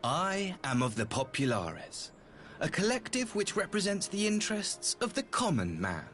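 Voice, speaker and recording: An actor playing a courteous, self-important imperial ambassador in a video game, speaking with formal, theatrical politeness. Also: male voice, sits high, wildly swooping pitch, normal speed, fairly bright tone, faint music behind, heavily acted. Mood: earnest, stately. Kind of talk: scripted game dialogue.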